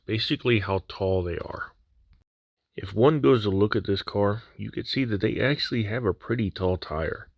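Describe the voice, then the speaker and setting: A man's voice: sits low, dry recording. A man narrating an unboxing voice-over of a scale model kit in a casual way with dry humor, his voice a little worn after nearly losing it. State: recovering from near voice loss.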